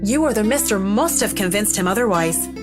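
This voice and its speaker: A woman's voice: mid-range voice, expressive, a little fast, over music, close to an everyday voice. An actress playing an inquisitive, businesslike woman in a game, asking probing questions politely. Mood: curious. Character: inquisitive, businesslike woman inspecting an old mansion. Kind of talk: visual novel game voice acting.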